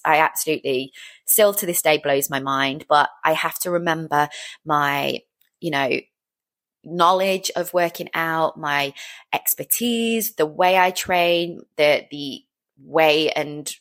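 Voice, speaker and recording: A woman's voice: lowish voice, a bit bright. A woman of about forty chatting casually on her own as a fitness trainer, rambling along in an upbeat, encouraging way. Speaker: fitness trainer and business owner hosting a show. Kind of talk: casual solo chat, personal update.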